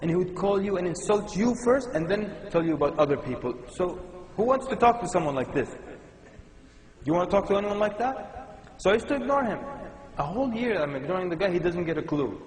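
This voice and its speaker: Middle-aged Sudan-born preacher, animated and funny storyteller, echoey lecture hall. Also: male voice, high voice, a little fast. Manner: lively storyteller, mixes in Arabic words. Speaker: Islamic lecturer. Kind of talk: humorous religious lecture. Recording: echoey hall with long reverb.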